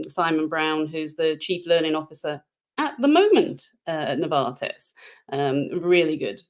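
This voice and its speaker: Chatty woman, lowish voice. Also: a little mellow. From United Kingdom. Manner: chatty, self-deprecating humor, frequent repeated words. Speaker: podcast guest, organizational psychologist. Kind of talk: casual interview chat.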